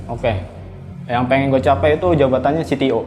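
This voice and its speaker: A man's voice: mid-range voice, steady tone, brisk, very noisy. A man of twenty-three, casually answering interview questions. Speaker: interviewee, young worker.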